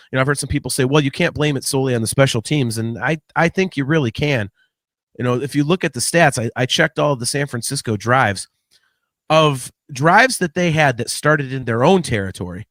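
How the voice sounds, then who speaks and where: A man hosting a sports podcast solo, giving blunt, opinionated commentary in a casual, halting way with some mild swearing. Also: mid-range voice, a bit bright, no echo. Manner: blunt, opinionated, mildly profane.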